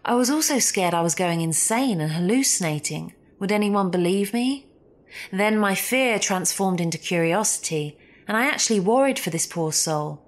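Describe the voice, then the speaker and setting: A woman's voice: medium pitch, animated, normal speed. A woman reading a first-person story as a scripted narrator. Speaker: narrator reading listener stories.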